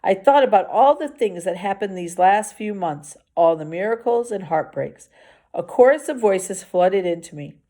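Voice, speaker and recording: A woman's voice: mid-range voice, animated, normal speed, dark tone. A woman reading a children's novel aloud expressively, as a teacher does for a class.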